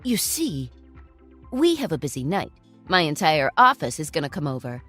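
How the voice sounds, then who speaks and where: A woman's voice: low-pitched, very animated, normal speed, a bit bright, no room sound, faint music behind, voice pushed down, full character voice. Smug jerk of an ex-husband, talks down to everyone, woman voicing him. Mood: brushing off. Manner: sneering, condescending. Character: smug selfish ex-husband, middle-aged man.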